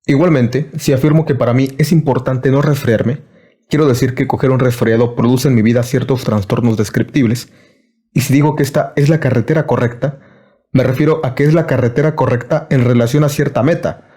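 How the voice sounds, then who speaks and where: A man giving a measured, formal scripted reading of a philosophy lecture. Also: medium pitch, steady tone, warm tone.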